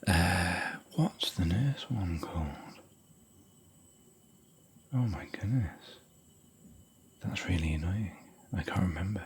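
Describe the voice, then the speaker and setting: A man whispering softly in a rambling, chatty, gentle way while hosting an ASMR hotel room tour. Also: deep voice, slow pace, long pauses, crowd noise. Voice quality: soft whisper.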